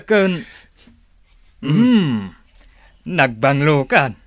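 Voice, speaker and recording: A man's voice: on the high side, sing-song, drawn-out words, no room sound, exaggerated voice. An actor with an Ilocano accent playing a teasing male buddy in a comedy radio drama. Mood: teasing, impressed. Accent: Filipino, Ilocano. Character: teasing male buddy egging on his lovestruck friend.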